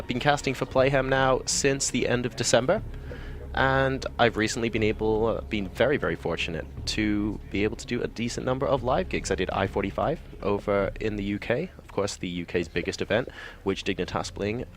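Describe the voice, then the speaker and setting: A man from Hong Kong, an esports caster, talking quickly and enthusiastically in an interview at a busy event. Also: lowish voice. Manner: quick, chatty and enthusiastic, with frequent fillers. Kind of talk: casual interview answers.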